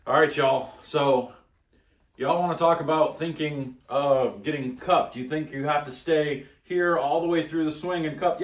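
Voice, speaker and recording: A man in his thirties coaching bowling technique at home, talking bluntly and emphatically as he demonstrates. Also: medium pitch. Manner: blunt, emphatic, exasperated at bad advice. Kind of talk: instructional vlog demonstration. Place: living room at home, indoors. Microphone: camera on tripod, close then several meters away. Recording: echoey room.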